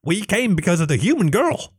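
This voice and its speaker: Male reader as a squeaky, cringing little female kobold, broken grammar, high and swooping, for a storybook. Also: very animated, a little fast, breathy edge, no echo, studio quality, exaggerated voice. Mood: timid, pleading. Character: shrill broken-speaking female kobold leader, timid.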